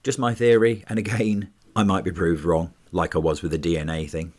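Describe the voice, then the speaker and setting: Very deep-voiced man, stuffy and congested. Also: level tone, a little mellow. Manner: chatty, measured, with small restarts. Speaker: TV episode reviewer. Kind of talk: casual spoken review.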